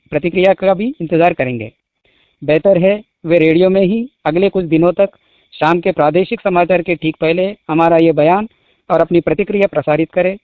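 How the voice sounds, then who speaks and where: A man reading out a formal statement as a spokesman, in measured short phrases, over a phone call. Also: a little high, no echo. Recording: muffled phone-line call recording.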